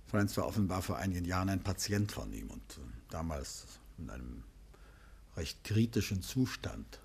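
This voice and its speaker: Composed male detective, husky, animated intonation, deliberate and courteous, vintage radio drama. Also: low-pitched, exaggerated voice. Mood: serious, probing. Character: composed, probing gentleman detective, husband of his companion. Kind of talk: 1950s German radio play acting.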